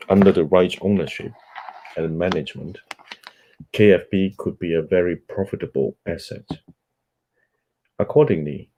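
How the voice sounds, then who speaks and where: A man whose first language is Cantonese, hosting a book club and reading a nonfiction book aloud in a measured way, pausing on fillers between phrases. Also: low voice, mellow, poor audio.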